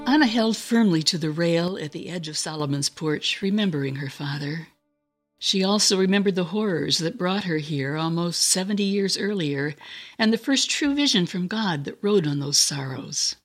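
Lower-pitched woman narrating a dramatic story. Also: lively intonation, moderate pace, faint music behind.

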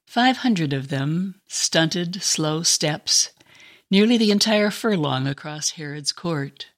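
A woman's voice: deep voice, expressive, slow pace. A woman reading a scripted story as dramatic narration. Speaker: guest story reader.

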